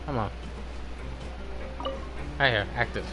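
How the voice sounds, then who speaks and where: A man's voice: medium pitch, takes it slowly, pushed and bright, soft background music. A man giving casual live gameplay commentary, informally muttering to himself and swearing. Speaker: game streamer.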